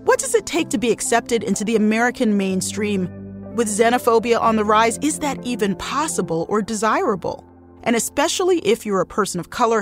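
A middle-aged woman hosting a podcast, reading a scripted intro and then asking relaxed questions. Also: mid-range voice, no room sound. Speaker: podcast host.

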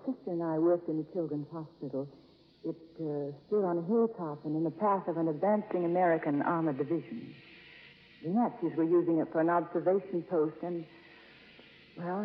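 A woman playing a gentle, earnest American nun in a 1950s radio drama, polite and quietly determined. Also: on the low side, dull audio, lightly acted. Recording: reverberant, band-limited, noisy old radio broadcast.

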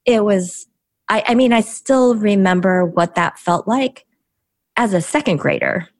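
A woman from the Chicago area, whose first language was Korean, telling her life story as a podcast guest in a measured, reflective way with pauses and restarts. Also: mid-range voice, no room sound, studio quality. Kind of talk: personal storytelling in a podcast interview.

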